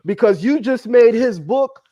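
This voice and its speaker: A man's voice: very high voice, lively intonation, a little slow, dark tone, muffled recording. A man hosting a heated live debate, emphatic and boastful, repeating himself as he shows his sources. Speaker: host.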